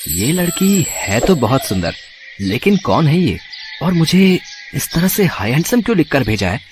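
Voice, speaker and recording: A man acting a hesitant, expressive married man. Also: fairly high pitch, slight background noise. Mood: puzzled. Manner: halting, bashful, with stammers. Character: hesitant married man, easily flustered, smitten and curious. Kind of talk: dramatized audio story dialogue.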